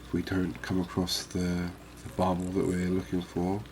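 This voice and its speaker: A man giving unhurried, murmured ASMR commentary as he hosts a fishing game series. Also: low-pitched, monotone, a little mellow, a little hiss or hum. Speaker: host of a gaming series.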